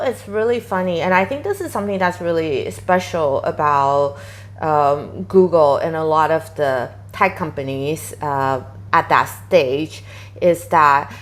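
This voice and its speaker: Interview guest: woman, 30s, low voice, chatty and full of fillers. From Taiwan. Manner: chatty, many fillers, quoting herself animatedly. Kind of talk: casual interview conversation.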